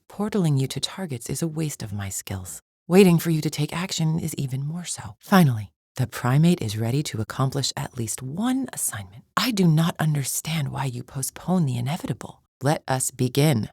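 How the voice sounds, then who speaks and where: A contemptuous female champion fighter from a video game, speaks in a strikingly deep register. Her delivery is cold, imperious and formal, full of disdain for lesser beings. The sound is close and dry, with no room around it. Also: darkish tone, no echo, full character voice. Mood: impatient disdain. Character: haughty, contemptuous alien female warrior champion. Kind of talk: scripted video game character acting.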